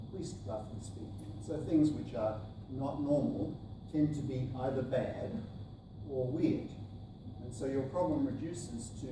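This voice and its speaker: A male professor delivers a measured academic lecture in an Australian accent tinged with Canadian. His voice sits at an average pitch, picked up from a distance in a very echoey room with clear background noise. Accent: Australian softened by years in Canada. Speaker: professor giving a talk.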